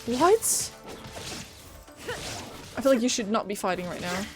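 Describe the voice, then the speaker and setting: A woman's voice: a little high, very animated, a little slow. A woman giving casual live gameplay commentary, reacting and talking back to the game's characters. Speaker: game streamer. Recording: game music behind.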